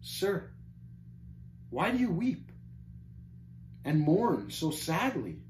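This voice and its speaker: A man voicing an earnest, tender-hearted pilgrim in a storybook tale, gentle and pleading. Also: high voice, expressive, moderate pace, pitched above the performer's own voice. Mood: gentle concern. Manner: gentle, pleading, old-fashioned storybook phrasing. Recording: roomy echo, faint background noise.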